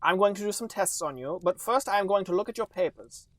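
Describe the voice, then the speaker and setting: Male doctor character, acted by a man, comic story, high-pitched, calm, grave, formal deliberate phrasing, dry sound. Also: no echo. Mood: calm, businesslike. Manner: stiff, deliberate, formal phrasing without contractions. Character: calm but grave male doctor breaking bad news.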